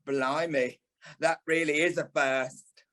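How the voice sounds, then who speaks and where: A flamboyant old stage dame with a British accent, acted by a man. The voice is high and unhurried, drawling its endearments. The delivery is theatrical, bossy and bluntly tactless, as in an audio play. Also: fairly even intonation, bright, forceful tone. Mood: wry, teasing. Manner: theatrical, tactless, drawling, calls people darling and lovey. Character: flamboyant, blunt older former dancer and ballet teacher, a dame.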